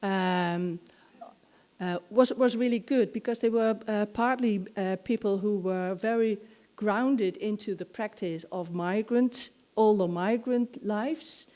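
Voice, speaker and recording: Older woman, medium pitch, hesitant thinking-aloud delivery, academic conference talk, podium microphone. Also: slow, mellow. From Netherlands. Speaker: conference presenter. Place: hotel conference room. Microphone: podium gooseneck microphone.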